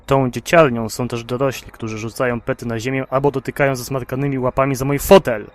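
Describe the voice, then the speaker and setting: Man playing a gruff, irritable taxi driver. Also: medium pitch, flat delivery, fast, close to an everyday voice. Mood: irritated, curt. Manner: curt, blunt, grumbling. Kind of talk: audio drama dialogue.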